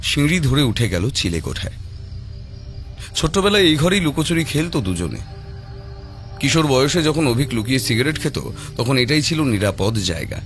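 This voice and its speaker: A man narrating a scripted horror story dramatically, with suspenseful, measured phrasing. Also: medium pitch, sing-song. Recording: loud music behind.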